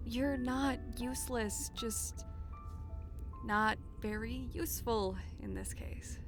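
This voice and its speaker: A woman's voice: fairly high pitch, slow, creaky, music in the background. A woman playing a blunt, no-nonsense adventuring companion in a fantasy audio drama, matter-of-fact and firm. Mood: firm, apologetic.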